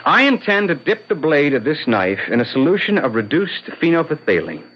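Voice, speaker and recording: Casting: a confident, theatrical defense attorney for vintage radio drama; very animated delivery, deliberate oratory, muffled old broadcast sound. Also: male voice, fairly high pitch, husky. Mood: grave, dramatic.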